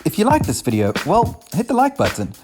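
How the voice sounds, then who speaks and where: A man's voice: high-pitched, huge pitch swings, a little fast, over music. A man giving an upbeat, direct plug for his channel. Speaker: channel host. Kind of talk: subscribe plug.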